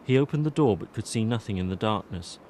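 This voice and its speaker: A man's voice: on the low side, lively intonation, fast, a little mellow, a little hiss or hum. A man reading scripted narration for an audiobook drama as its storyteller.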